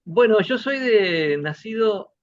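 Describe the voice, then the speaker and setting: A man from Santa Fe, Argentina, with an Argentine accent, speaking as a musician guest in an interview, reflective and unhurried. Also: high-pitched, animated. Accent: Argentine Spanish. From Santa Fe province, Argentina. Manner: reflective, unhurried, tag questions. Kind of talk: relaxed interview conversation. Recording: dull lo-fi audio.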